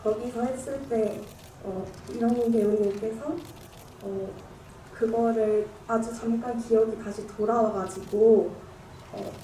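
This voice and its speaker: A woman's voice: fairly high pitch, steady tone, measured pace, mellow. Polite young woman questioner, echoey hall. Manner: polite, hesitant, lots of fillers. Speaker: audience member asking a question. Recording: echoey cinema hall, distant, noisy.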